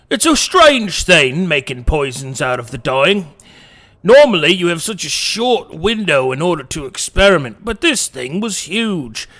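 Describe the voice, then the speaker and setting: A man voicing a folksy bright-voiced poison demon. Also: fairly high pitch, exaggerated voice. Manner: rambling, folksy, old-fashioned turns of speech. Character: male poison-brewing demon, gruff and folksy. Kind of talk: tabletop roleplay in character.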